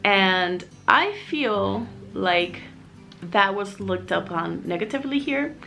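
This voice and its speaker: Woman, young adult, casual vlog, candid and reflective, mid-pitched voice, faint background music. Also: expressive, slow pace, creaky, sharp tone. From Miami, USA. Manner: candid, reflective, chatty with fillers. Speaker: vlogger, single mom living in Mexico.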